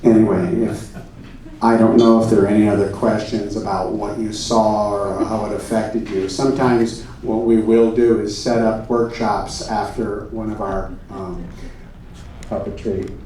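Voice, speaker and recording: A man performing on a theater stage in a comedy show, speaking with deadpan, mock-earnest solemnity as a presenter. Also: lowish voice, unhurried, slight background noise. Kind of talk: comedy stage show, solemn story narration and audience address. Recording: echoey room, far from the mic, music.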